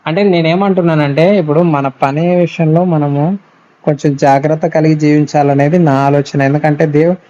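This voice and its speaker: High, very mellow Rayalaseema Telugu man advising. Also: fairly even intonation, moderate pace. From Kurnool, Andhra Pradesh, India. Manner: earnest, admonishing, repeats phrases and checks for agreement. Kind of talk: advisory phone conversation. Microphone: phone call. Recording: dull lo-fi phone call recording.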